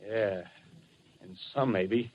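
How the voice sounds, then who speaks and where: An actor playing a fanatical, scheming working-class soldier in a 1930s radio drama, with colloquial, insistent, rambling speech. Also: male voice, fairly low pitch, extremely slow. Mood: darkly scheming. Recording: dull, band-limited 1930s radio recording.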